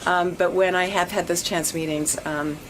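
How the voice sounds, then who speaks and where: A chatty woman, a guest actress, who talks casually and often repeats herself. Her voice sits fairly low, and a clear layer of background ambience and noise runs behind her. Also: steady tone, moderate pace. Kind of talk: casual chat.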